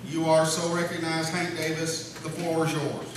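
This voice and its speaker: A man's voice: a little high, flat delivery, normal speed, natural voice. A man playing a pompous, forgetful, dismissive lodge leader who runs a meeting in a folksy, commanding way, in a comedy radio sketch. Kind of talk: live comedy radio-show sketch acting. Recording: echoey hall, distant mic, noisy.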